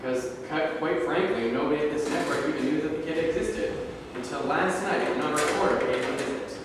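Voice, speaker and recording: A man's voice: fairly high pitch, brisk, natural voice. A man playing a folksy, easily impressed TV sports co-host in a staged reading of a scripted broadcast. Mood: presenting. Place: live staged reading in a reverberant room. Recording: echoey hall, distant mic, clear background noise.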